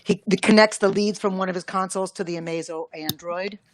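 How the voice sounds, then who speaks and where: A woman voice director briskly reading stage directions and cueing actors. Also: medium pitch, steady tone, a little hiss or hum.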